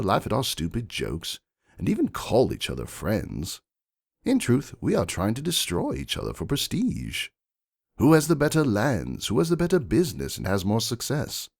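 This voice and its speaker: A man voicing a refined, shrewd stallion aristocrat, pompous and deliberate, in a dramatic first-person fan fiction reading. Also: on the low side, vocal fry, darkish tone, no room sound, studio quality, heavily acted. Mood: cynical, measured.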